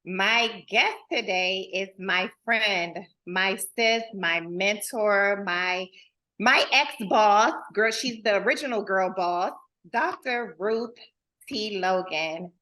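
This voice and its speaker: A woman's voice: mid-range voice, slow, sharp tone. Playful woman hosting a chat show. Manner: playful and familiar, slangy asides. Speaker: host. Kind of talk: casual chat.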